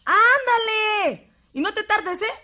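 High-pitched scolding Mexican mother. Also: takes it slowly, bright, forceful tone, exaggerated voice. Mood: impatient scolding. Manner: scolding, bossy. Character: harsh, impatient rural mother. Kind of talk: audio drama acting. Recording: clear farmyard background noise with fowl.

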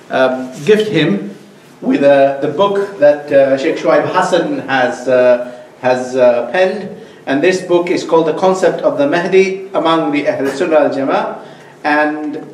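A man introduces a guest politely, pausing with plenty of fillers, his voice a touch high. He sounds set back from the mic in an echoey room with faint hiss. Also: recorded from a distance. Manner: polite, halting, many fillers. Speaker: host introducing the speaker. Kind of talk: formal introduction. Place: mosque meeting room.

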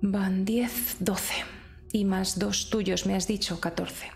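A woman's voice: mid-range voice, steady tone, slow, gravelly, faint music behind. A woman playing a tabletop roleplaying game, casually describing what her character does and feels.

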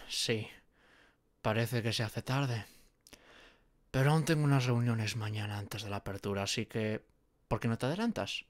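A man playing a nervous, gentle gorgon who works as an artist, with a hesitant, flustered way of talking in an audio roleplay. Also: medium pitch, no room sound, clean studio sound, close to an everyday voice. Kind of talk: dramatic ASMR roleplay monologue.

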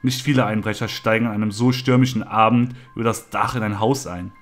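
A man's voice: on the low side, steady tone, a little fast, faint music behind, close to an everyday voice. Man as a matter-of-fact police officer.

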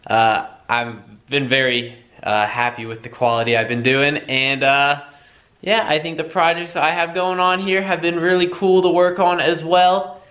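A young man giving a relaxed, rambling vlog update, filming himself with a handheld camera at arm's length outdoors and in a garage, with plenty of fillers. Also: on the high side, moderate pace, smooth, highs cut off. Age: young adult. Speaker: vlogger. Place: outdoors at a storage lot, in a truck, and in a home garage.

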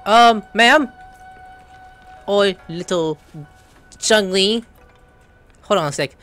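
A man's voice: high-pitched, extremely slow, pushed and bright. A man giving casual, playful playthrough commentary on a video game, reacting aloud as he goes. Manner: playful, reacts aloud, reads dialogue in character. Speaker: gaming streamer. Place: indoor room, game audio behind. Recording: faint game music behind voice.

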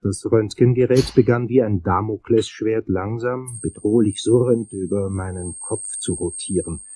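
An actor playing a wry, world-weary investigator who narrates his case in a crime audio drama, husky and dry in delivery. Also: lowish voice, mellow, poor audio. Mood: tense, brooding.

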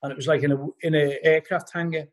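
A man's voice: fairly high pitch, little pitch movement, fast talker. A middle-aged man with a Liverpool accent, a podcast guest telling rambling anecdotes packed with "you know", talking over a video call from home. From Liverpool, England. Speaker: podcast guest, band vocalist. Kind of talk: casual storytelling interview. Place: living room at home. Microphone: laptop webcam on a video call.

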